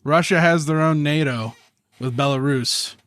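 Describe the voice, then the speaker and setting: A man's voice: a little high, unhurried, fairly bright tone, no room sound, studio quality. A man from rural Ohio chatting casually on a podcast, with sweary slang. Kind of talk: casual chat.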